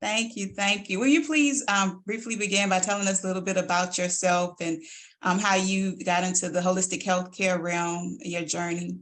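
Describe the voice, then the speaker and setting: A woman's voice: lowish voice, fairly even intonation, moderate pace, fairly bright tone. A woman hosting an interview in a polite, encouraging manner. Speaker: interviewer, host. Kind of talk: interview hosting, brief responses.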